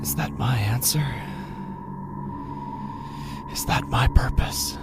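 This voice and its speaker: A man playing an anguished, rotting living corpse in a horror audio drama, speaking haltingly, repeating himself and dropping into whispers. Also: mid-range voice, close to an everyday voice. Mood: anguished questioning. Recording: music behind the voice. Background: music.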